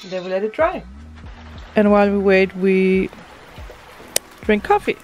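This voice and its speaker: Female vlogger, relaxed slow delivery, muttering through a home fix-up over background music. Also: mid-range voice, highs cut off. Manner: thinking aloud while fitting drawer knobs. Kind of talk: casual DIY vlog talk. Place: indoors at home.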